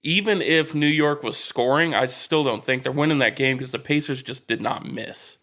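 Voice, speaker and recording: American guy, average pitch, sports podcast banter, teasing and emphatic, keeps it casual. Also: moderate pace, sharp tone.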